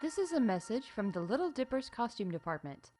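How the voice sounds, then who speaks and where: A woman reading scripted comedic announcements in a playful, mock-official deadpan as the announcer of a news show. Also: on the high side, fairly quick. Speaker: announcer of a fictional theme park news show.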